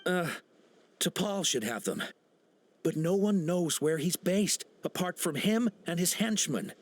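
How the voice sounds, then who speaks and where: Stammering captured smuggler. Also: male voice, high-pitched, animated, takes it slowly, no room sound, full character voice. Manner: stammering, full of uh and nervous restarts. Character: nervous captured male smuggler, cowardly. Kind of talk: game cutscene voice acting.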